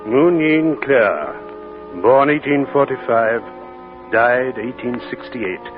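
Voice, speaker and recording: An actor playing a kindly middle-aged vicar who speaks gently and earnestly, in 1940s radio acting style. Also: male voice, mid-range voice, animated, slow, music in the background. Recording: tinny old radio broadcast with faint hiss.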